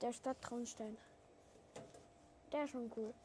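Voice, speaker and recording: A woman's voice: a little high, steady tone, takes it slowly, mellow, a little hiss or hum. A woman walking alongside, giving short replies and remarks off to the side. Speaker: companion on a walk.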